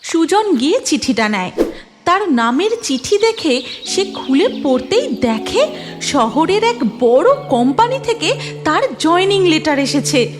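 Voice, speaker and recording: Female narrator, high pitch, scripted moral storytelling, long reverb, music behind. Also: big pitch swings, unhurried.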